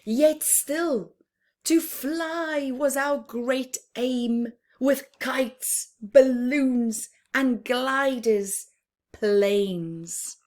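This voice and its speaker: A woman reading a picture book aloud for young children, expressively and with chatty asides, pausing between phrases and often dropping to a hushed tone. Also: high-pitched. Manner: deliberate phrasing with pauses, often dropping to a hushed near-whisper.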